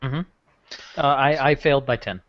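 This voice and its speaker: Male role-playing gamer, casual delivery, middling pitch, somewhat muffled audio. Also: fairly even intonation, moderate pace, no room sound. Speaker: tabletop game player. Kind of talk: casual game chat.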